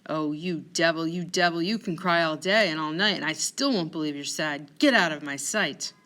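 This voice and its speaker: A woman's voice: deep voice, expressive, brisk. Woman acting a wrathful, jealous general. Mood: scornful rage. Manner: wrathful, accusing, bitterly sarcastic. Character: jealous, raging military general, a married man.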